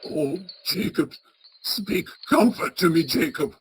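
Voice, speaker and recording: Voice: medium pitch, animated, slow. An actor playing a crotchety, frightened old male miser in a radio play, stammering and blustering as he speaks. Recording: clearly noisy track with ambience.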